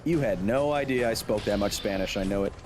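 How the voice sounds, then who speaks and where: A man doing live gameplay commentary, goofy and excitable, who shouts at the game. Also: lowish voice, a little fast, music in the background. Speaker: streamer.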